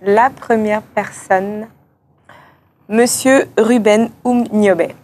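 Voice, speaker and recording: A woman hosting an interview, posing structured questions and briskly acknowledging answers. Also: mid-range voice, fairly even intonation, darkish tone. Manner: brisk, affirming with short acknowledgements. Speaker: interviewer, show host.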